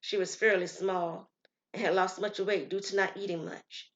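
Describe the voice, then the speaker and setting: A woman's voice: medium pitch, steady tone, moderate pace, fairly bright tone. Slightly muffled woman storyteller. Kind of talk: scripted story narration.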